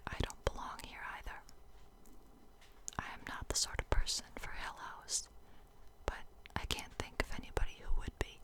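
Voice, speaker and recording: A woman voicing a shy, nervous, self-doubting guest in a storybook reading, in a tentative whisper. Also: lightly acted. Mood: wistful, self-doubting.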